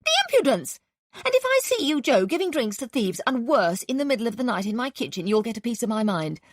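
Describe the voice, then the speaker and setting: A woman reading an audiobook, voicing an angry, shrill, indignant older landlady. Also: big pitch swings, fairly quick, no echo, clean studio sound. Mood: outraged.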